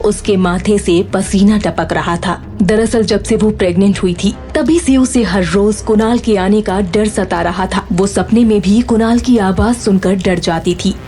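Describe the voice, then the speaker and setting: A woman's voice: mid-range voice, measured pace, few pauses, mellow. Woman narrating a dramatic story over music.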